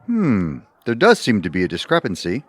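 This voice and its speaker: An actor in an audio comedy playing a deadpan, officious bureaucrat at a zoning office. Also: male voice, lowish voice, normal speed, a little hiss or hum. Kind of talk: scripted audio theatre comedy acting.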